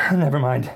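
A woman's voice: deep voice, creaky, fairly bright tone, husky, exaggerated voice. A woman acting an exasperated, skeptical, teasing character in a two-person comedy audio play, sarcastic and scolding. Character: exasperated, skeptical, teasing partner of a superstitious worrier.

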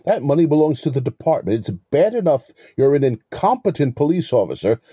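A man acting in an audio play as a smug, pompous con artist, lecturing verbosely with mock courtesy in a husky voice. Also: fairly high pitch, big pitch swings, fairly quick, mellow, dry recording, highs cut off. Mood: scornful, commanding. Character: smug, verbose male con artist posing as police lieutenant.

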